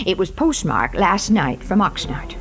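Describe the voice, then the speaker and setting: A woman's voice: lowish voice, very animated, poor audio. An actress playing a fussy, imperious, hard-drinking society aunt in her fifties in a 1940s radio drama. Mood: brisk, businesslike. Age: 50s. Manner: brisk, imperious, fussy, flustered asides. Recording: vintage 1940s radio broadcast, music behind.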